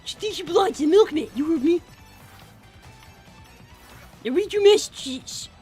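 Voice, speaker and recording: A woman's voice: extremely high pitch, expressive, unhurried, warm tone, soft background music. A woman voicing a blunt, bossy five-year-old fox brother, needling in improvised cartoon-style banter.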